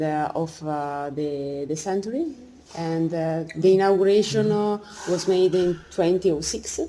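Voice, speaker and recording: Halting Italian woman, low mellow voice. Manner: halting, searching for English words. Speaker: museum director being interviewed. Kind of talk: informal interview. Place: museum cafe. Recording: faint background noise, museum cafe.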